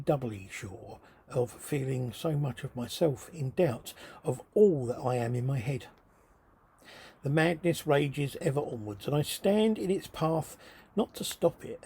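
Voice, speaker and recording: A man with a husky, rough-edged voice reading his own writing as a theatrical, brooding spoken-word monologue, delivered deliberately and dramatically. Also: fairly high pitch, animated, mellow. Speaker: writer reading own work.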